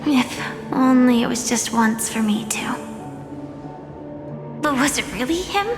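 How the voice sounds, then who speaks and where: A young woman voicing an earnest, soft-spoken game heroine, wary yet resolute. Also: high-pitched, lively intonation, slow pace, exaggerated voice. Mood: thoughtful. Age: young adult. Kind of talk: video game cutscene voice acting. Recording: long echoing reverb, game music behind.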